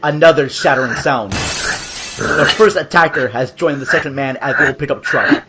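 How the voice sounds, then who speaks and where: A man's voice: mid-range voice, normal speed, very noisy. A man giving grave, theatrical scripted narration for a horror audio drama. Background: loud music and sound effects.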